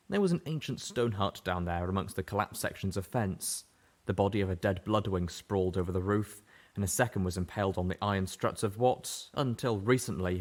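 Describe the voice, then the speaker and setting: A man narrating a fan-fiction audiobook with a steady, even-paced reading voice. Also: deep voice. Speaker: audiobook reader. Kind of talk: scripted story narration.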